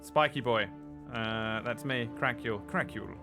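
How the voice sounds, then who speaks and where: A man's voice: medium pitch, slow pace, music in the background. A man introducing his character in casual, joking tabletop banter. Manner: excitable, joking, swearing. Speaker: player.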